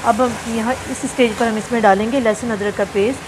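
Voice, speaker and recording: A slightly high-voiced woman talks through a home cooking lesson in a relaxed, chatty way. She lists quantities carefully, pausing and repeating items as she goes. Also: noisy background. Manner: chatty, instructive, lists ingredients slowly with repeats. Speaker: cooking channel host. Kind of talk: home cooking tutorial voice-over. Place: home kitchen.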